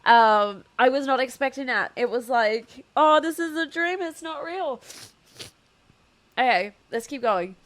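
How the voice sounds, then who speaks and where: A woman's voice: high voice, measured pace, fairly bright tone. A tearful, emotional woman streamer chatting casually as she reacts to a game. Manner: emotional, giggly, exclamatory. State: tearful from crying. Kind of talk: live playthrough reaction, casual chat.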